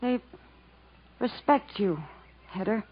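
A woman's voice: medium pitch, slow pace, husky. An actress playing a hopeful, stubborn young woman stranded in the jungle, earnest and emotive in 1950s American radio drama style. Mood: urgent, pleading. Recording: muffled, noisy 1950s radio recording.

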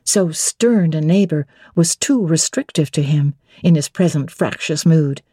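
A woman giving an expressive, theatrical first-person narration of classic literature. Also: sits low, measured pace, slightly rough, dry recording, clean studio sound. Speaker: audiobook narrator.

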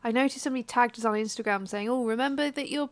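A woman co-hosting a podcast in casual chat, a bit bunged up from a cold, chatty and quick to laugh. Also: fairly high pitch, dry recording. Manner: chatty, laughs often, drawn-out fillers. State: congested from a cold. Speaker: podcast co-host.

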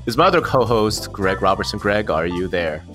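Animated, informal male podcast co-host. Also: on the low side, moderate pace, soft background music. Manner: informal, slangy, joking. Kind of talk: casual podcast chat.

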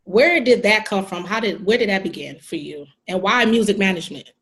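A woman's voice: medium pitch, lively intonation, fairly quick. Effusive woman podcast host. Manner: warm, effusive praise and slang. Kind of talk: casual interview hosting.

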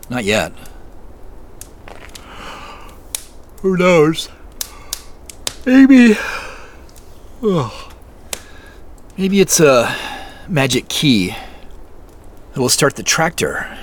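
A man's voice: fairly high pitch, sing-song, drawn-out words, long pauses, full character voice. An actor playing a wry, wistful, easygoing man who guards his feelings, in naturalistic audio drama dialogue. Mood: playful. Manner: easygoing, self-joking, guarded about feelings. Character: wistful, wry man on a road quest, grieving parents. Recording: noticeable outdoor ambient noise.

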